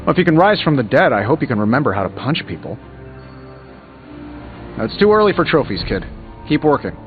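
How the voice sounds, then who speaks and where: Guy doing a cocky american superhero inventor for a video game, swoopy sarcastic delivery, music playing loud behind him. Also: medium pitch, big pitch swings, brisk, phone-quality sound, lightly acted. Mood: breezy, teasing. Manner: glib, sarcastic quipping. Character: cocky, wisecracking male tech genius superhero inventor. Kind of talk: scripted video game voice acting.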